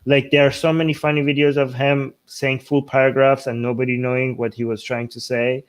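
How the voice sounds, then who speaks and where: Man from Iran, native Persian speaker, mid-pitched voice, casual political commentary, rambling, repeats and rephrases. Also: level tone, fairly quick, clean tone. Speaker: talk show host.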